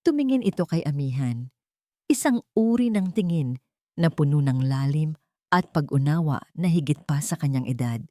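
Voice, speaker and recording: A woman reading a scripted dramatic story as narrator, in short, deliberate, measured phrases. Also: low-pitched, animated, mellow, no room sound, clean studio sound.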